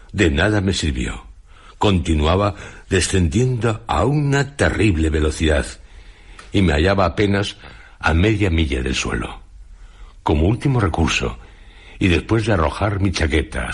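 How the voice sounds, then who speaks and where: An actor playing an indignant adventurer telling of his perilous fall in a radio drama, deliberate and literary. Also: sits low, slightly rough, heavily acted. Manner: deliberate, literary, theatrical storytelling. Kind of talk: dramatized first-person diary narration, radio drama.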